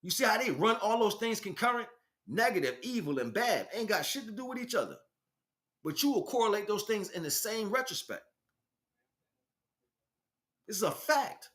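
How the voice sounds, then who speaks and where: A man's voice: high-pitched, very animated, normal speed, long pauses, a bit bright. A man giving an impassioned, informal spiritual lecture in a blunt, emphatic street vernacular, often asking rhetorical questions. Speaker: spiritual teacher, speaker.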